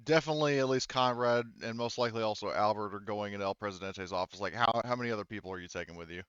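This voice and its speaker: A man's voice: lowish voice, racing, fairly bright tone, clear, resonant tone, dry recording, highs cut off. A man running a tabletop roleplaying game as game master, talking casually and thinking aloud as he goes.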